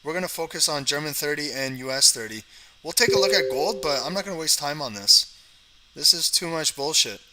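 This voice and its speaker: A man's voice: fairly high pitch, lively intonation, a little fast, over a noisy crowd, poor audio. A man from Canada hosting a live trading stream, chatting casually, talking to his viewers in the chat and tagging lines with "okay" and "guys.". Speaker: trading livestream host.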